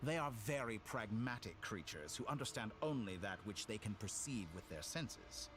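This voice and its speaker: A man voicing a smug, silky game villain with godlike power, theatrical and condescending in his mock-polite, deliberate delivery. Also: on the low side, lively intonation, a bit bright, a little hiss or hum. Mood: sinister, coaxing. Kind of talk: scripted video game dialogue. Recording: game music behind the voice.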